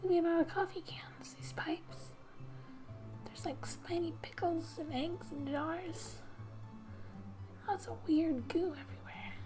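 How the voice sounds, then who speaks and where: A woman narrating a game in soft-spoken ASMR style, often dropping into a breathy whisper, reading gently like a storybook with quiet asides. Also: extremely high pitch, fairly even intonation, slow, pauses often, over music. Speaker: gaming ASMR video host.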